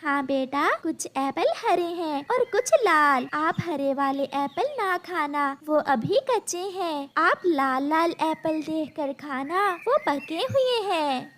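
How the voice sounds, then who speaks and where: Woman acting as cartoon mother bird, caring, anxious, high animated voice, unhurried. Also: exaggerated voice. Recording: clear background noise.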